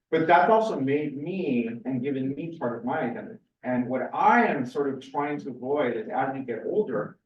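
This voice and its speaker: A man in his forties from California gives an informal seminar talk with personal stories. His voice sits at a middle pitch and comes from some way off in a roomy space. He is conversational, often restarting and correcting himself as he goes. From California, USA. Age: 40s. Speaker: research scientist giving a seminar. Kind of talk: informal public lecture, personal storytelling. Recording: roomy, distant, muffled lecture recording.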